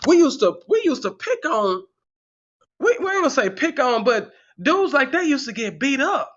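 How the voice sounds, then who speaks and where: High-voiced man in his forties ranting. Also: sing-song, normal speed, a bit bright, muffled recording. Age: 40s. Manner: heated, repetitive, rhetorical questions. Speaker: channel host. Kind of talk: livestream commentary, reading the chat.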